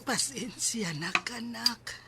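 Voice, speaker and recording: A Filipino woman with a Cebuano accent playing a weak, remorseful sick mother, halting and tearful, in a radio drama. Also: a little high, creaky, heavy background noise. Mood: weak, apologetic.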